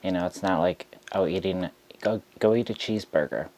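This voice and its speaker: A man who reads tarot, speaking straight to camera in a frustrated rant, deliberate and halting with frequent ums. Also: sits low, a little mellow. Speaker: tarot reader.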